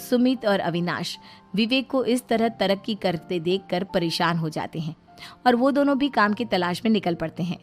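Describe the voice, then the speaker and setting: A woman's voice: medium pitch, expressive, normal speed, soft background music. A woman reading scripted narration for a moral story as its storyteller.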